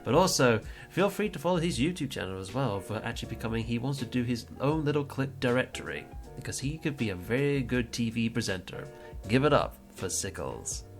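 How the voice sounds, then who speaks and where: A man hosting a casual livestream podcast, talking in a rambling, playful way with plenty of teasing. His voice sits at an ordinary middle pitch, and faint music plays underneath. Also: lively intonation, fairly quick. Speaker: podcast host.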